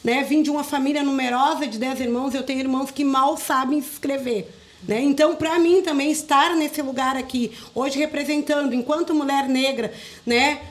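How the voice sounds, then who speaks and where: A woman's voice: high-pitched, steady tone, normal speed. A woman from southern Brazil with a Rio Grande do Sul accent, speaking earnestly and conversationally as an interview guest. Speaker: interview guest, community group coordinator. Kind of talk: conversational radio interview talk.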